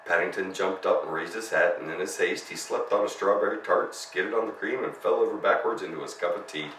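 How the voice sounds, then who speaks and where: A man's voice: low voice, a little fast, fairly bright tone. A man narrating a children's story as a storyteller, reading expressively. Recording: roomy, distant mic, faint noise.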